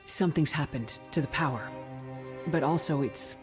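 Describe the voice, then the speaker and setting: An actress playing a composed, determined woman in a video game drama, calm, serious and reassuring. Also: sits low, moderate pace, soft background music, close to an everyday voice. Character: composed, determined woman searching for a missing doctor.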